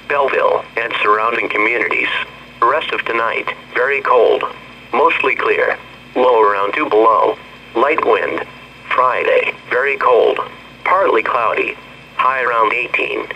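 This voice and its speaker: A very bright, hard-edged text-to-speech man's voice with an American accent reads a weather bulletin in clipped, formal phrases. It comes over a weather radio, muffled with a faint layer of noise. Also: medium pitch. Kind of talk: automated scripted weather forecast reading. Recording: dull, noisy weather radio broadcast.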